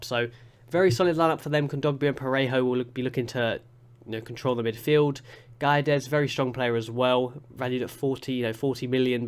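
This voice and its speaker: A young man with a congested, sniffly voice from a cold, chatting through a football management game with excitable play-by-play commentary. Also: mid-range voice, clear, resonant tone. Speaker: gaming YouTuber.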